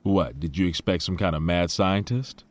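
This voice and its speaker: Man acting a deep-voiced, self-assured billionaire mogul. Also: moderate pace, natural voice. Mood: intrigued, assured. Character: male billionaire tech mogul, self-assured, idealistic, genteel. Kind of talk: audio drama dialogue.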